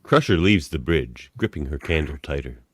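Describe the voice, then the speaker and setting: A man's voice: a bass voice, animated, normal speed, heavy background noise. A man reading stage directions as an amused narrator of an amateur radio play.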